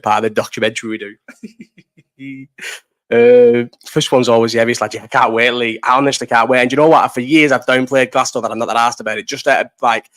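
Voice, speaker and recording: A young man hosting a casual live chat, reading out comments, rambling, swearing and laughing often. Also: mid-range voice. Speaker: livestream host.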